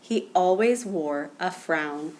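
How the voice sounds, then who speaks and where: A woman's voice: on the low side, slow pace, a little mellow, slight background noise, low-quality recording. A woman narrating a children's picture book aloud. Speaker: storybook reader.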